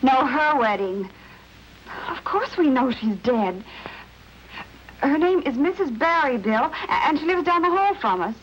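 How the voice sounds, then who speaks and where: An actress playing a fretful, inquisitive young wife and amateur sleuth in an old-time radio mystery. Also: female voice, big pitch swings, unhurried, pauses often, a bit bright, slight background noise, exaggerated voice. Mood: agitated, chatty. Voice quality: high-pitched. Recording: muffled old radio broadcast, music.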